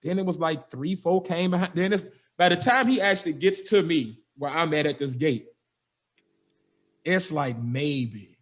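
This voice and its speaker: A young man, a podcast host telling a story with lively energy, slangy and acting out the dialogue. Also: high voice. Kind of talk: animated storytelling.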